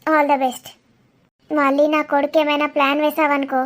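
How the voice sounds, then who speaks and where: A woman's voice: high-pitched, level tone, normal speed, close to an everyday voice. A woman playing a ruthless, scheming mother who is possessive of her son and makes cold, menacing threats. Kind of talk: melodramatic audio drama.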